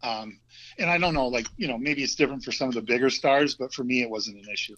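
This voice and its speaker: A man in his fifties with a Vermont accent, chatting easily as a podcast guest and often pausing with ums and restarts. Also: mid-range voice. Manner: relaxed, hesitant, many ums and restarts. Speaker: guest, former hockey player. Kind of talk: casual interview chat. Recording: dull lo-fi audio.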